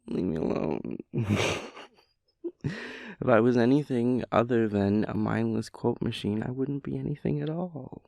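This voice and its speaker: A man playing a sleepy, playful boyfriend in an intimate audio roleplay, theatrical and mock-dramatic, with breathy, hushed asides. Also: medium pitch, unhurried, pauses often, no room sound. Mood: giddy.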